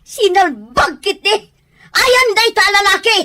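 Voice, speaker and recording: A woman's voice: very high voice, animated, a little slow, pushed and bright, slight background noise, exaggerated voice. A woman with an Ilocano accent playing an exasperated mother who scolds and exclaims in an audio drama. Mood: angry scolding.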